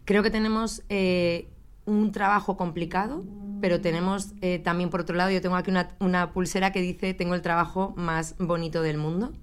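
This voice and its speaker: A woman primary school teacher speaking in a heartfelt, measured way in a recorded testimony. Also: fairly low pitch, level tone, smooth.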